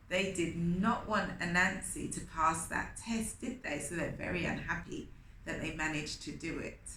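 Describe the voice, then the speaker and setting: A woman reading a story aloud to young children, expressive and often putting questions to her listeners. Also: lowish voice. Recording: roomy echo with faint noise.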